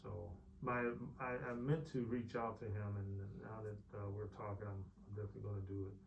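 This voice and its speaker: A man with a gravelly voice chats relaxedly as interviewer, stumbling now and then over his words. His voice carries the echo of the room. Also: fairly low pitch, fairly quick, slight background noise. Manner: relaxed, brief backchannels, a few stammers. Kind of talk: casual interview. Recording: echoey room.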